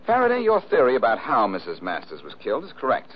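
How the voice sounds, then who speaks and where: An American actor playing a cool, sardonic amateur detective in a 1940s radio mystery, glib and self-assured, on an old recording. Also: male voice, medium pitch, huge pitch swings, fast, husky. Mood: confident, sardonic. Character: wisecracking, cool-headed amateur detective man, quick and sardonic. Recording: dull, hissy old-time radio recording.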